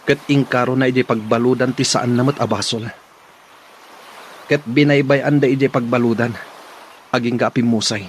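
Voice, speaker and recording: A man's voice: medium pitch, steady tone, moderate pace, pauses often, a little hiss or hum, lightly acted. A man playing an earnest, determined young man in a radio drama, speaking solemnly. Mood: pleading, solemn.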